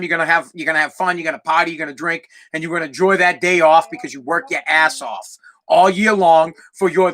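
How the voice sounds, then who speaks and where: A young man with a Boston accent hosting his own podcast, ranting loudly and profanely as he talks straight to his listeners. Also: high voice. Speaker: podcast host. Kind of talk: solo podcast rant.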